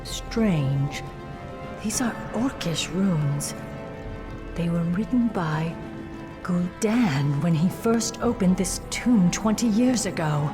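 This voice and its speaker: Stern, low-voiced elf warden. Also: female voice, lively intonation, slow pace, over music. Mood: grave, watchful. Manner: grave, cautious, formal. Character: stern, resolute female night elf warden. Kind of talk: dramatic video game voice acting.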